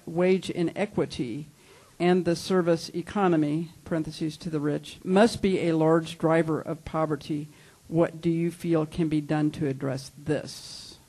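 A moderator reading out student and audience questions to a panel in a brisk, matter-of-fact way. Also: mid-range voice, a little mellow, poor audio.

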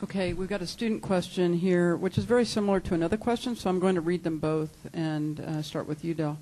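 A moderator reading out student and audience questions to a panel in a brisk, matter-of-fact way. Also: mid-range voice, mellow, low-quality recording.

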